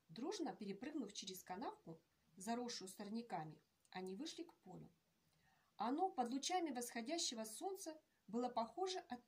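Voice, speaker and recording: A woman in her forties, an author reading her own children's tale aloud in a gentle, measured storytelling manner, recorded indoors on a webcam. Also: fairly high pitch, breathy edge. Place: indoor room with painted canvases.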